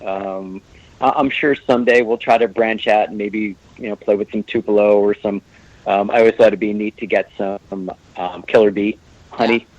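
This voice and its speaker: A man's voice: fairly low pitch, steady tone, moderate pace, darkish tone, lo-fi sound. A man who owns a meadery, chatting casually as a guest on a podcast, joking and rambling with many restarts.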